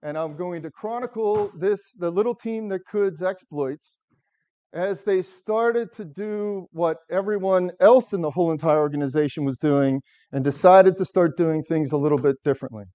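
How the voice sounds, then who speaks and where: A loud, engaging middle-aged man from the United States speaks to a seated audience in a lecture room, his fairly high voice carrying without a microphone. The sound is dull and lo-fi, caught by a camera at the back of the room. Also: moderate pace, clear, resonant tone. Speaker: software architect giving a talk. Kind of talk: conference talk to a live audience. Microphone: far camera, no microphone.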